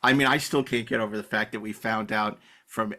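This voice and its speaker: A man hosting a podcast in casual, rambling chat, halting often and repeating his words. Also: on the low side, steady tone, pushed and bright. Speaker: podcast host.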